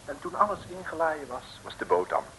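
A man's voice: fairly high pitch, very animated, a little fast, fairly bright tone, a little hiss or hum, rough recording. A man narrating a comic radio drama as a wry, theatrical scripted storyteller on an old recording.

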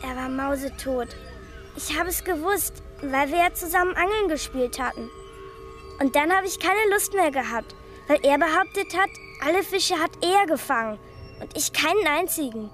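A woman's voice: high voice, lively intonation, normal speed, a bit bright, faint music behind. A female actor playing a chatty little girl in a radio drama, telling things in a matter-of-fact, childlike way, eerily indifferent to horror. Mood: matter-of-fact, eerie.

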